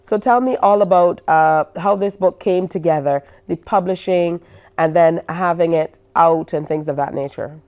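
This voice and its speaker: A woman's voice: fairly low pitch, normal speed, darkish tone. A woman hosting a morning TV show, asking a guest chatty questions with plenty of filler words. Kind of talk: interviewing a guest.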